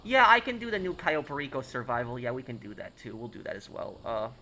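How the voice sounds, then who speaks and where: A man's voice: mid-range voice, sing-song, fast, pushed and bright, slight background noise, low-quality recording. A young American man streaming a game, reading viewer questions aloud and answering them casually, with frequent fillers and restarts. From United States. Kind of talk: casual live-stream chat.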